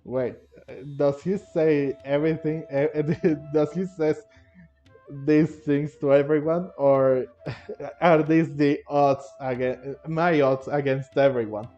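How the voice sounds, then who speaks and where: A man doing casual, halting let's play commentary, rambling and sometimes reading game text aloud. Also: fairly high pitch, fairly even intonation, warm tone. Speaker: gaming video host. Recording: dull lo-fi mic, faint game music. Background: game music.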